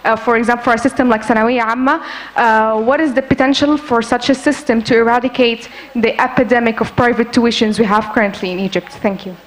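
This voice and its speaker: Young student girl, kinda high voice, asking a question, lots of uh. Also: fairly even intonation, brisk, rough recording. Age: young adult. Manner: hesitant, many fillers.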